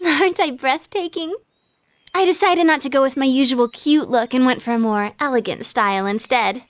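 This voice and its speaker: Woman acting a teenage idol girl, high voice, American accent, cutesy, coy, confident, playful anime game style. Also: normal speed, dry recording, natural voice. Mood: playful, showy.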